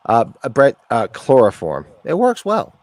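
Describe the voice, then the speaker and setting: A man's voice: medium pitch, wildly swooping pitch, a little slow, no echo. A man in his forties with a sniffly voice from allergies, chatting casually, rambling and swearing as he jokes. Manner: profane, rambling, joking. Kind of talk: casual chat.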